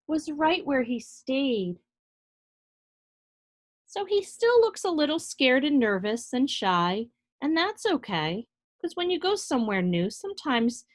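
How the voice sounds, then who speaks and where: Female, slightly high voice, preschool teacher. Animated read-aloud for little kids, simple direct phrasing. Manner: animated, addresses small children directly, simple explanatory phrasing. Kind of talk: read-aloud to young children with asides and questions.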